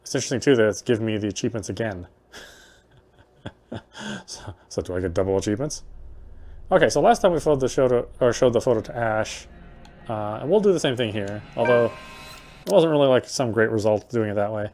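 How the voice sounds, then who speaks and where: Low-voiced man chatting through a game. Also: lively intonation, brisk, pauses often, darkish tone, soft background music. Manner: chatty, thinks aloud. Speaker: game streamer and host. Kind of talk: casual gameplay commentary.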